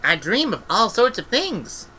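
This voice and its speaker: A man's voice: high-pitched, very animated, unhurried, pushed and bright, a little hiss or hum, voice pushed up, exaggerated voice. A man voicing an earnest, anxious young girl, a meek and questioning apprentice witch in a storybook reading. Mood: bewildered.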